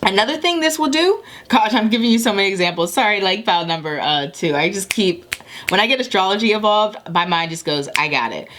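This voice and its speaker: A woman's voice: medium pitch, lively intonation, brisk, a bit bright, a little hiss or hum. A woman giving a casual tarot and astrology reading to her viewers, talking in a chatty, rambling way with many self-corrections and frequent "okay?" check-ins.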